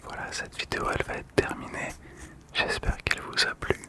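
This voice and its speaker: A man hosting ASMR in a breathy whisper, speaking gently and soothingly with pauses, close to the microphone. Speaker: ASMR video host. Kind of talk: whispered ASMR card description. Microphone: close ASMR microphone, monitored on headphones.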